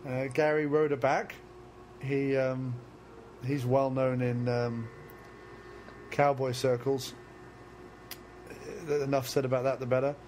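A man's voice: medium pitch, little pitch movement, takes it slowly, pauses often, darkish tone, slight background noise. A man co-hosting a podcast, riffing crudely on a movie with lots of fillers. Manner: rambling, joking, many fillers. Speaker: podcast co-host. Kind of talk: crude comedic movie commentary.

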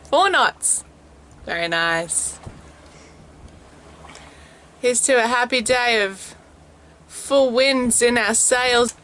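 A woman's voice: fairly high pitch, drawn-out words, long pauses. A woman, chatting casually as she films herself at arm's length on a sailboat. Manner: chatty, relaxed, laughs often. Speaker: vlogger, sailing family mum. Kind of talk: casual travel vlog narration. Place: outdoors on a sailboat deck at sea. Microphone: handheld camera at arm's length.

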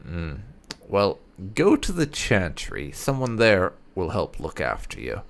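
A man's voice: deep voice, huge pitch swings, slow. A man doing playthrough commentary, musing and reading his character's dialogue choices aloud. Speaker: gaming channel host roleplaying his character.

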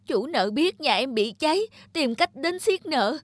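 A woman's voice: sits high, lively intonation, a little slow, no room sound, clean studio sound. A woman playing a distraught, crying sister-in-law in a cartoon. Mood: distraught, sobbing. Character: distraught sister-in-law begging family for help after ruin.

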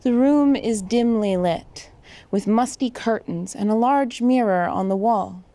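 A woman's voice: on the high side, unhurried, dark tone, no room sound. A woman reading scripted narration and stage directions for an audio drama.

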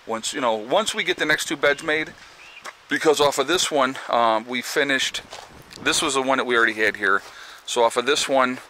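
Chatty man with a bright, forceful voice. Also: medium pitch, moderate pace, slight background noise, rough recording. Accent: American Northeast. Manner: rambling, chatty, with many fillers and restarts. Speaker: homesteading vlogger. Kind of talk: casual walk-and-talk vlog. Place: outdoors on a rural homestead.

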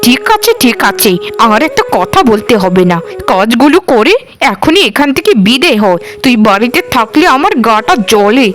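A cruel aunt from a cartoon , acted by a woman, scolding with open scorn. Her voice sits high and swings widely in pitch as she harangues and mocks the girl under her thumb. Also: sing-song, moderate pace, faint music behind. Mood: irritated. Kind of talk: Bengali cartoon dialogue.

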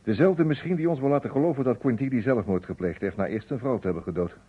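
An actor playing a brusque, sardonic chief police detective in a radio detective drama. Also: male voice, mid-range voice, fast talker, slightly rough, natural voice. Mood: brisk, grave. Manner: curt, sardonic. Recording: dull, muffled old radio recording.

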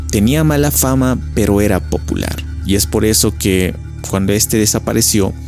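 A man's voice: lowish voice, darkish tone. A man narrating a scripted legend in a storytelling style.

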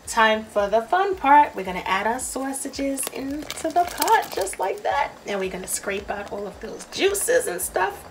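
A woman's voice: sits high, big pitch swings, a little slow, hardly any pauses, a little hiss or hum, poor audio. A woman narrating a recipe casually as a cooking host, chatty and playful, talking straight to viewers.

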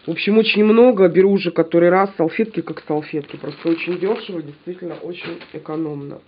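A woman's voice: fairly low pitch, quick pace, highs cut off, slight background noise. A woman with a stuffy nose from a cold, chatting casually to her viewers as she shows off a shopping haul at home. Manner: chatty, animated, addresses viewers directly.

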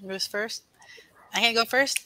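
A woman's voice: on the high side, unhurried, pushed and bright, slight background noise. A woman in her thirties or forties giving considered answers as a panelist on a video call. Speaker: panelist, a parent.

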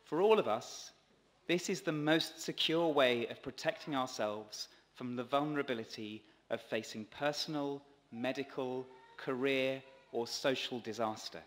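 A male priest gives a prepared sermon at a lectern microphone. His voice sits at a medium pitch, with careful, measured phrasing. Long reverb from a large stone church follows his words. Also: fairly bright tone. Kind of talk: sermon read from a script. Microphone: gooseneck lectern microphone.

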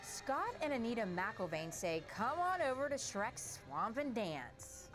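Cheery woman co-host, lively intonation. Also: on the high side, a little slow, over music. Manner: cheery, playful. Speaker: parade broadcast co-host. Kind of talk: upbeat scripted event commentary.

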